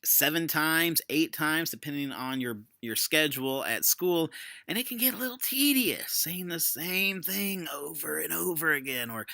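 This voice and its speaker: A man's voice: a little high, lively intonation, moderate pace, vocal fry, bright, forceful tone, no echo. A man giving a casual screen-share tutorial as an educator, explaining things conversationally. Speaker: educator demonstrating a software tool.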